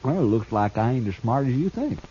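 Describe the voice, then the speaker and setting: A man's voice: mid-range voice, very animated, warm tone, natural voice. A man acting a cocky, sly jailed outlaw in a western radio drama, talking in a folksy, mocking way. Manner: folksy, mocking drawn-out delivery. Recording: dull, noisy old radio recording.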